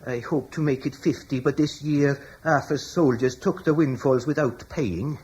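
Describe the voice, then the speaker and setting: A man's voice: medium pitch, normal speed, a little mellow, husky, close to an everyday voice, low-quality recording. A man playing a loyal, dignified old servant. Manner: formal, deferential, earnest. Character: loyal, dignified male British slave, older father. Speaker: household slave. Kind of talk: radio drama acting.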